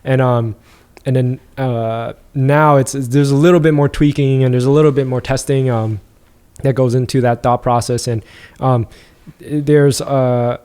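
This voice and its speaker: Man, podcast guest, mellow dark tone, easygoing, filler-heavy reflective chat. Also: medium pitch, a little slow, clear, resonant tone. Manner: relaxed, reflective, many fillers like "like" and "um". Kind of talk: casual podcast conversation.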